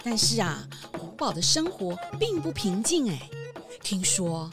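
A woman's voice: mid-range voice, sing-song, a little slow, over music. A woman narrating a children's mystery audio drama in a theatrical storytelling style. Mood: spooky.

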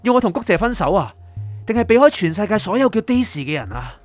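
A man plays a troubled, sleepless writer in a Hong Kong Cantonese radio drama. His fairly high voice sounds anxious, pleading and doubtful, with music running underneath. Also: very animated, fast, dry recording. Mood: agitated, pleading. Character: troubled, sleepless male writer seeking his doctor's help.

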